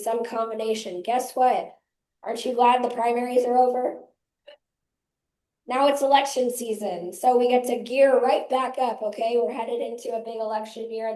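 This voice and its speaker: A hoarse woman, her voice worn by laryngitis, chairs a community meeting with brisk, practical announcements. Her voice sits fairly high with a mellow, soft tone. The sound carries echo from the meeting room. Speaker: chair and founder of a local activist group. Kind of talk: opening remarks at a member meeting.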